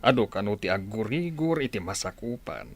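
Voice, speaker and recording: A man's voice: lowish voice, expressive, a little fast, soft background music. A man playing a grave official giving a report in a radio drama.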